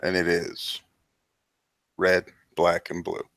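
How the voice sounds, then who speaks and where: A man running a tabletop game as game master, describing scenes in a plain, measured way. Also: sits low, completely flat delivery, a bit bright, no room sound, studio quality.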